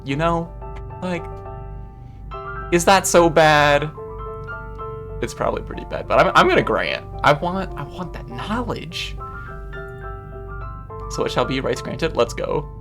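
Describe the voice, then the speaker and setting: Expressive game streamer over clear music. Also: medium pitch, unhurried, pauses often. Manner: expressive, reacting with exclamations. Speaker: gaming video host. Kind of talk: casual playthrough commentary, reading game text aloud. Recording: music behind the voice. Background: game background music.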